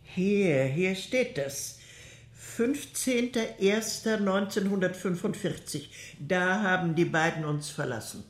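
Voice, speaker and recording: An actress playing a composed convent nun in a radio crime drama, speaking in a measured, formal and deliberate way. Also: female voice, on the low side, expressive. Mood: measured recollection. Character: composed convent nun recounting wartime records to investigators. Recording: echoey room.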